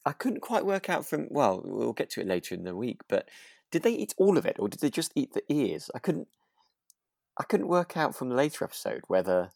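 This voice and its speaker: A man's voice: mid-range voice, sing-song, fairly quick, creaky, darkish tone, no echo. British man chatting, close dry sound. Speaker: podcast co-host. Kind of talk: relaxed casual chat about a radio drama.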